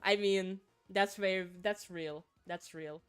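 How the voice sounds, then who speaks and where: A woman's voice: mid-range voice, steady tone, takes it slowly, clean tone, no echo. A woman from Finland, a native Finnish speaker, chatting casually with viewers on a game livestream, giggling and repeating words as she goes. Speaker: streamer.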